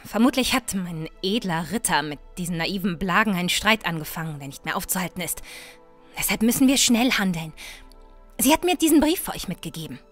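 A woman playing a bold, sharp-tongued, impatient young adventuress in a fan-made fantasy audio drama. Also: on the low side, expressive, brisk, faint music behind. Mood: hushed, businesslike. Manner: sarcastic, bossy.

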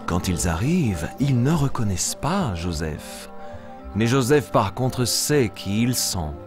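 A man's voice: mid-range voice, sing-song, slow pace, music in the background. A man playing an eager young boy lion, telling stories simply and expressively in a children's puppet show. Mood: storytelling. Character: eager young boy lion, cheerful storyteller of Bible tales. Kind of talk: animated storytelling for small children.

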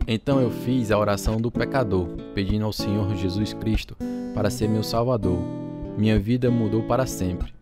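A man's voice: fairly low pitch, moderate pace, close to an everyday voice. An actor playing an earnest young man telling his conversion story in an audio drama. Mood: reflective. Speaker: narrator and lead actor. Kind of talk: audio drama narration and acting. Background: guitar music.

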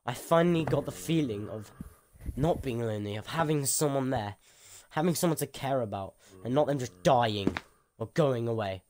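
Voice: mid-range voice, lively intonation, normal speed, background noise, natural voice. A young-sounding performer playing an anguished, protective parent afraid of losing a son, in an improvised game roleplay. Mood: pleading, accusing. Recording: loud music over voice.

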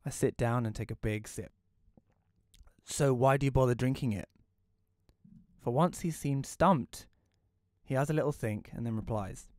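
A man's voice: mid-range voice, normal speed, pauses often, darkish tone, no echo, natural voice. A man playing a reclusive, wry widower, narrating an audio play in the first person in a dry, reflective way. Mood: curious.

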